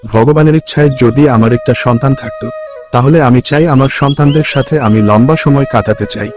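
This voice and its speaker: A man's voice: medium pitch, brisk, over music, close to an everyday voice. A man playing a poor, kind village labourer and husband in a cartoon folk tale, with an earnest manner. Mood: wistful.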